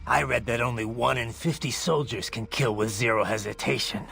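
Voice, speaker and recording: A man voicing a brooding, bloodthirsty cyborg soldier in a video game, with a menacing gravelly growl and intense, clipped phrases. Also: medium pitch, normal speed, fairly bright tone. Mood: grim, boastful. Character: brooding, bloodthirsty male cyborg soldier, haunted former child soldier. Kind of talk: dramatic video game voice acting. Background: music.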